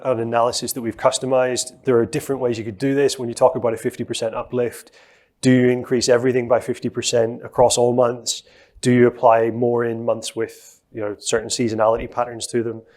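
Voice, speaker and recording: Middle-aged male presenter at a conference lectern, steady explainer, mid-pitched, lots of ums. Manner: explanatory, steady, with frequent fillers. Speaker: presenter giving a software demo. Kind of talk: conference talk with live demo.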